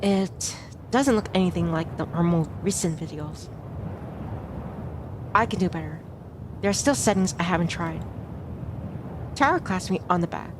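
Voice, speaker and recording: A woman's voice: fairly low pitch, animated, normal speed, long pauses, background noise, close to an everyday voice. A woman playing a self-doubting, observant young heroine, narrating a visual novel in a glum, confessional first person. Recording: music clearly behind the voice.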